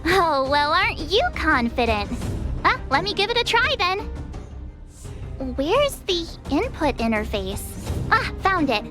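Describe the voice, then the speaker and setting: Very high, perky girl adventurer. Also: measured pace, heavily acted. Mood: playful, curious. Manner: expressive, teasing, exclamatory. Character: perky, playful, impatient young girl adventurer. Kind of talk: video game cutscene acting. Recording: light music behind the voice.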